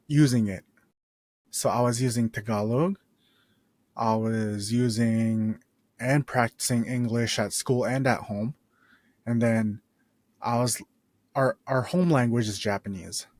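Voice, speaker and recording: A young man in his twenties hosts a casual podcast and tells a personal story at an unhurried pace. He rambles, restarts his sentences and drops in laid-back slang. The room sounds dry, with little reverb. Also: medium pitch, a little mellow. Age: 20s. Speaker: podcast host.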